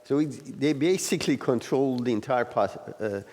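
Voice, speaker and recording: A man facilitating a class discussion, explaining informally and haltingly as he searches for words. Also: medium pitch, expressive, a little mellow. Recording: reverberant hall.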